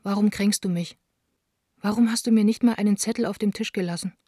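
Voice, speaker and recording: Level, mellow woman reading intimate letters as an actress. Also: medium pitch, fairly even intonation, fairly quick, dry recording, clean studio sound, close to an everyday voice. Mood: hurt, reproachful. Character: Anna, wounded, candid young woman of the theatre, writing letters. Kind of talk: radio play monologue, letters read aloud.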